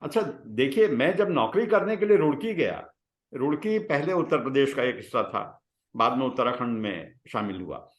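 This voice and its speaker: A man, telling personal stories reflectively in conversation, with halting pauses between phrases. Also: medium pitch, expressive. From Bihar, India. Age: 60s. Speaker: guest, retired scientist. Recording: roomy, echoing sound.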